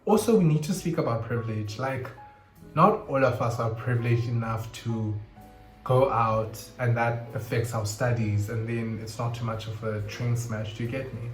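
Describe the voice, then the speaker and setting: An animated man in his twenties talks casually to camera at a mid pitch. The sound is roomy, with soft guitar music playing underneath. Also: moderate pace. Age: 20s. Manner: animated, with sudden high squeals and laughs. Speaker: vlogger. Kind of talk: casual confessional chat to camera. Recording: roomy echo, faint guitar music behind. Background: faint guitar music.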